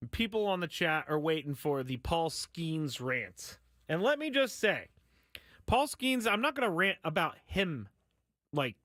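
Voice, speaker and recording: A man's voice: mid-range voice, fairly bright tone, dry recording, clean studio sound. A man hosting a sports talk radio show, speaking in a casual, candid, self-deprecating monologue. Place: radio studio.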